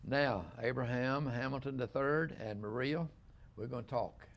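An older man hosting a relaxed studio interview, talking in a rambling, halting way with many restarts. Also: mid-range voice, gravelly. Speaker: host. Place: small living-room studio set.

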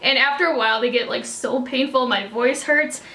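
A young woman in her twenties chatting through a makeup tutorial, a little raspy after hiccups, full of "like" and "kinda". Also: sits high, moderate pace, pushed and bright. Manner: chatty, many "like" and "kinda" fillers. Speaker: beauty vlogger. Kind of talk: casual makeup tutorial talk. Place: indoors before a plain backdrop.

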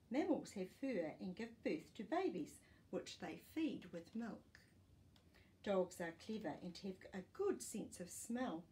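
A woman, reading a children's book aloud in a deliberate, explanatory way with asides. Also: medium pitch, darkish tone. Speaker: storytime presenter reading to children. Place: small living room, indoors. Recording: faint room noise.

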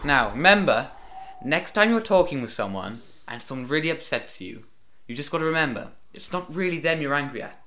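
Man acting as earnest male group facilitator, quick speech, lively intonation, patient, encouraging, comedy sketch. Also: fairly high pitch. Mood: soothing. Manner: encouraging, soothing, placating.

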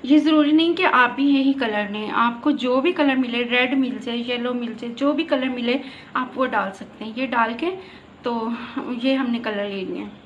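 A woman with a high voice walks viewers through a home recipe, patiently explaining each step as she cooks. Soft kitchen sounds and clinking dishes stay faintly in the background. It is recorded on a phone in a home kitchen. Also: moderate pace, highs cut off, slight background noise. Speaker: cooking channel host. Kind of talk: step-by-step cooking demonstration.

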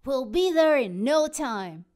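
A woman's voice: high-pitched, lively intonation, slow pace, darkish tone. A woman voicing a cool, upbeat male cat pirate captain in a children's storybook. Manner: friendly, upbeat calling out.

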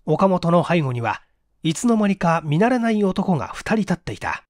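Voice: mid-range voice, big pitch swings, normal speed, darkish tone, no echo, studio quality. A stage performer narrating a literary mystery story in a dramatic audiobook style.

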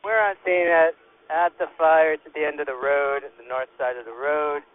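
A man's voice: fairly high pitch, fairly even intonation, normal speed. A man, a fire engine officer, giving field reports over a two-way radio. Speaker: firefighter, engine company officer. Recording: muffled fire radio, faint noise and clicks.

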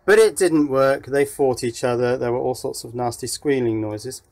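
A man's voice: medium pitch, normal speed, creaky, darkish tone. Man, casual electronics demonstrator. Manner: thinking aloud while working with hands. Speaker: electronics hobbyist presenter. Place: indoor workshop.

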